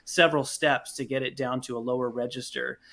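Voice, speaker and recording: Guy guesting on a podcast, bright mid-pitched voice, chatting casually. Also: fairly even intonation, brisk, vocal fry. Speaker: podcast guest, audio drama producer. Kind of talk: casual interview chat.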